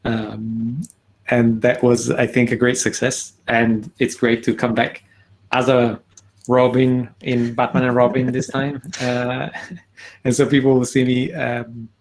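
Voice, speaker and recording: A man introduces himself on a video call in a gravelly, flat voice. He is halting and fills his pauses with "uh" and "um". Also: mid-range voice, rough recording. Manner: halting, many "uh" and "um" fillers. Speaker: conference organizer and video creator. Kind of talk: casual self-introduction. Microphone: computer mic on a video call.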